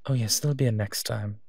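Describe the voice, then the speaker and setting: A gentle monster guardian played by a man talks slowly and softly in a close, dry recording. He is a protective, playfully teasing partner in an intimate audio roleplay, speaking straight to the listener at a mid-range male pitch. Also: no room sound. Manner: soft-spoken, unhurried, playfully teasing.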